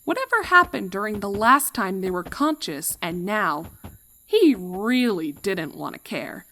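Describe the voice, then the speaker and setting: A woman's voice: mid-range voice, big pitch swings, unhurried, pushed and bright. A woman reading a fan fiction story as a scripted narration.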